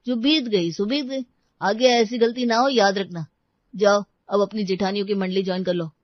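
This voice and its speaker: Stern husband role in a family tale woman performer: brusque, medium pitch, dry, slightly dull recording. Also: steady tone, normal speed, no room sound, close to an everyday voice. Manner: brusque, admonishing.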